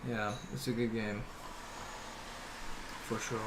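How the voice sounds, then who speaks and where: A man's voice: lowish voice, level tone, slow, heavy background noise. A young man streaming a game, chatting casually and rambling with his viewers. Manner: rambling, laid-back, uses bro and dude. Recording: loud game music behind the voice. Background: loud video game music.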